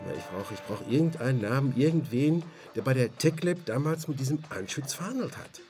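A man's voice: mid-range voice, expressive, brisk, a little mellow, soft background music, close to an everyday voice. A man playing a dogged, sardonic amateur investigator in a naturalistic radio drama, colloquial and hesitant. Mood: wry, insistent. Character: dogged, sardonic ex-surveyor turned driver, investigating on his own.

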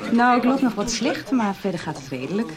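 Radio soap: actress as friendly convalescent, expressive highish voice over busy crowd noise. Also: female voice, fairly quick, lightly acted. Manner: friendly, chatty. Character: kindly female patient just out of hospital. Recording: loud crowd chatter behind.

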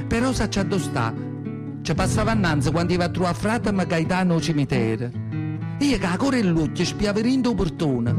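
Man playing a high-voiced old Neapolitan mother. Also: lightly acted. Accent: southern Italian, Neapolitan. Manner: confiding, rambling, rhetorical asides to listeners. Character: elderly Southern Italian mother, devout, chatty, nostalgic, tender. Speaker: actor in a radio monologue. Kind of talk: theatrical radio monologue storytelling.

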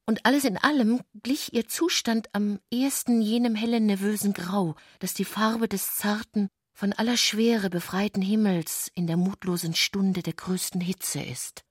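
A woman reading literary narration for a radio play, composed and deliberate in her phrasing. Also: mid-range voice, steady tone, husky, no echo, studio quality.

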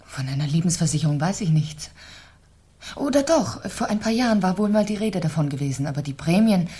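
An actress playing a cool, composed wealthy middle-aged wife, newly widowed, in a deliberate, articulate 1970s German radio crime drama style. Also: female voice, lowish voice, animated, dark tone, husky. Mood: guarded, cool.

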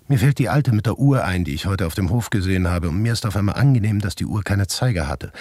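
An actor playing a restless, irritable writer who narrates his own absurd story in a radio play, with a gravelly, husky voice and an intimate delivery that often drops toward a whisper. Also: sits low, a little mellow, no echo, full character voice. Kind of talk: dramatic first-person radio play narration.

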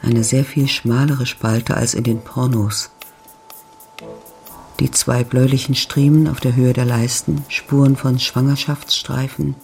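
Male narrator, radio drama: gravelly, fry-edged voice, sober descriptive reading over faint music. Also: mid-range voice, little pitch movement, unhurried. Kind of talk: scripted literary narration in a radio play. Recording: studio voice over soft music.

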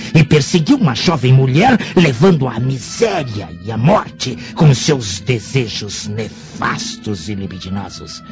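An actor playing a greedy, sinister old Egyptian sorcerer in a radio drama, speaking in a solemn, oracular way. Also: male voice, mid-range voice. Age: older. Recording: dull lo-fi audio with music behind.

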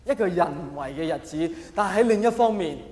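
A man's voice: sits high, lively intonation, brisk, a little mellow. A middle-aged man with a Hong Kong accent performing a theatrical, mock-earnest stand-up comedy monologue. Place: large hall, live stage performance. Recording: echoey hall reverb, faint background noise.

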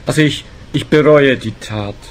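A man's voice: mid-range voice, moderate pace, slight background noise, natural voice. An actor playing a meek man cracking under guilt, hesitant and pleading, in a detective radio play. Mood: remorseful, pleading.

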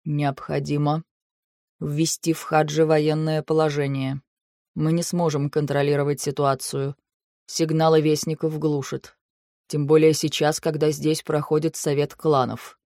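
Female narrator as grave male official, lowered pitch, measured, quiet, calm, deliberate, audiobook character. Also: dry recording, clean studio sound. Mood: icy calm.

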